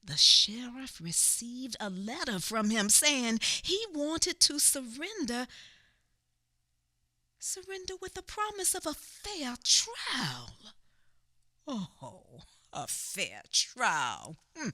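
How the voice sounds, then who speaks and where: Grieving Southern female narrator, radio drama, extremely slow, halting, emotive, bright forceful tone, murmured asides. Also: fairly high pitch, sing-song, exaggerated voice. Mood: sorrowful, reflective. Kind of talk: dramatic radio theatre storytelling.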